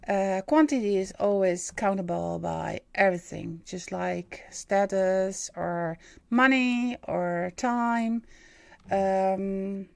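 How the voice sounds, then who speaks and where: She is a Dutch woman in her fifties speaking English as a second language, and she takes her time with plenty of hesitations. Her voice has a gravelly edge, and it sits close and dry on the mic. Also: medium pitch, darkish tone, no room sound. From Netherlands. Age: 50s. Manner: halting, many ums and uhs, searching for English words. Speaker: livestream host and medium. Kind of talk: hesitant livestream monologue.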